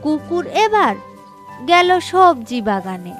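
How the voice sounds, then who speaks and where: High-voiced woman telling a slow children's story. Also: big pitch swings, fairly bright tone. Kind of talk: scripted children's story narration. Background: background music.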